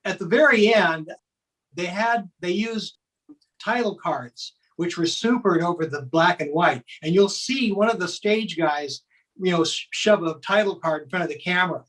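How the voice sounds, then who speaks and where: A woman's voice: fairly low pitch, normal speed. A woman explaining behind-the-scenes details in casual conversation, often checking in as she goes. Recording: distant from the microphone.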